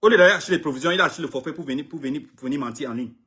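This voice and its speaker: A husky, slightly high-voiced middle-aged man from Cameroon, native English speaker, delivering an impassioned address in French. He stresses his points, repeats phrases and trips over words as he defends his artist. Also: rapid-fire, a bit bright. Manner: emphatic, repeats himself, stumbles over words. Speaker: artist manager.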